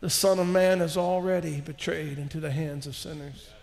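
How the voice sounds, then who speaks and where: A man in his forties preaching a sermon in a church on a headset mic, impassioned, rising to shouts and dropping low and quiet. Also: fairly even intonation, moderate pace, gravelly. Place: large church sanctuary, indoors. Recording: long hall reverb.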